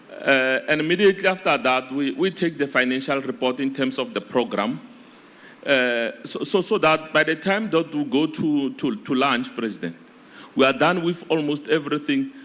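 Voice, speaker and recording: Halting South African man addressing a congress. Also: on the high side, a bit bright. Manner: halting, repeats words, formal appeals to comrades. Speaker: provincial delegate.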